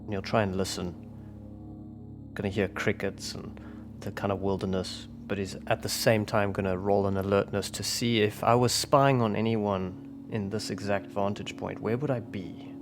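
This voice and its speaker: A man's voice: lowish voice, fairly even intonation, moderate pace. A man playing a tabletop roleplaying game, casually describing what his character does and talking over the rules with the table. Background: background music.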